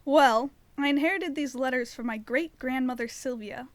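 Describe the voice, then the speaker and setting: An actress plays an earnest young woman in an audio drama. Her voice is high, and she tells her story in a chatty, expressive way. She sounds curious and determined. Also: moderate pace, close to an everyday voice. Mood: wry, curious. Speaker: narrator and lead actor of an audio drama. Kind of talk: audio drama narration and dialogue.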